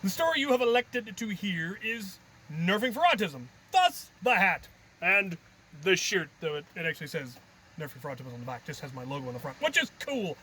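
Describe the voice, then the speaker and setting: Male vlog host, higher-pitched, playful and theatrical, casual jokey delivery, recorded on location with light noise behind. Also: sing-song, brisk, bright, forceful tone. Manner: playful, theatrical, self-deprecating. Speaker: host of a hobby video channel. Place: on location, outdoors and at busy events. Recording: background noise from outdoor and event locations.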